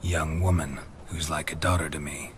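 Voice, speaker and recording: An actor playing a stoic, battle-worn monster hunter, terse and dryly witty, in a fantasy video game. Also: male voice, rumbling low, moderate pace, background noise. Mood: matter-of-fact. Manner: terse, deadpan. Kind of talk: dramatic video game dialogue.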